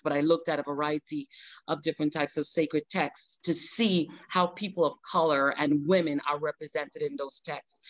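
A bishop who grew up in Guyana, speaking with a Guyanese accent in an earnest panel talk over a video call and filling pauses with many ums. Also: medium pitch, no room sound. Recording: dull, lo-fi online call.

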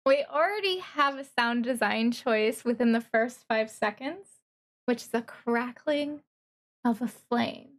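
A woman VTuber giving reaction commentary on a game trailer in a thoughtful, analytical, halting way. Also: a little high, faint music behind. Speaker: VTuber streamer.

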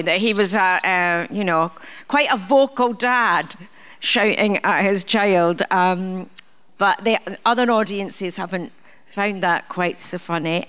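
A woman's voice: fairly low pitch, fairly bright tone, smooth. A woman speaking as assistant director on a live theatre panel, chatty and often restarting her sentences. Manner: chatty, with frequent restarts and fillers. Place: theatre stage. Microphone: handheld mic.

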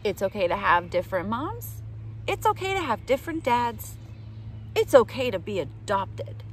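A middle-aged woman reading a picture book aloud to young children in an animated, direct way, recorded outdoors in the woods. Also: on the high side, normal speed. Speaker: children's storytime reader. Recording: outdoor ambient noise.

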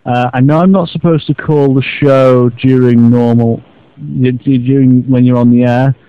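A man's voice: medium pitch, steady tone, moderate pace, clear, resonant tone, dry recording. A man leaving a casual, rambling voicemail in a hesitant, mock-earnest way. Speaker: voicemail caller. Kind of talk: casual rambling phone message. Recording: muffled internet voicemail, very dry.

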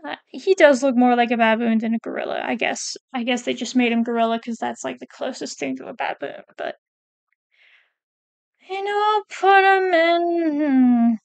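A woman with a high voice and a gravelly fry chats casually through a ranking, trailing off and restarting as she reads lines aloud. The sound is close and dry, with no room around it. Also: moderate pace, no room sound. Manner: chatty, hesitant, self-correcting, reads quotes aloud. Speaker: PNGtuber ranking game characters. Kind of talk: casual commentary while reading a wiki.

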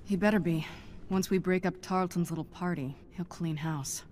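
A woman's voice: fairly low pitch, normal speed, darkish tone, a little hiss or hum, close to an everyday voice. A woman playing a tough but reassuring spy hero in a video game, wry and no-nonsense. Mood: determined. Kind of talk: video game cutscene acting.

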